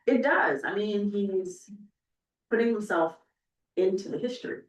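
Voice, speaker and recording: A woman's voice: medium pitch, measured pace. A woman speaking conversationally as an oral historian on a panel, emphatic and often checking in with "right?". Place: indoor event room. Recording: echoey room, distant microphone.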